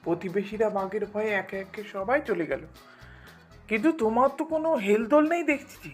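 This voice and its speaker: A woman's voice: medium pitch, lively intonation, a little fast, faint music behind, natural voice. A woman voicing a fretful, complaining village wife and mother in a folktale cartoon. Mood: nagging. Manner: complaining, lamenting. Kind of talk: Bengali children's folktale cartoon acting.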